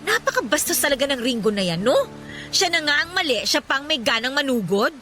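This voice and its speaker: A high, bright-voiced office girlfriend in a radio drama. She is chatty, sympathetic and a little scheming, nudging for agreement over faint music. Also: big pitch swings, fairly quick, exaggerated voice. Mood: disapproving. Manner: chatty, seeking agreement with tag questions. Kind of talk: Filipino radio drama dialogue.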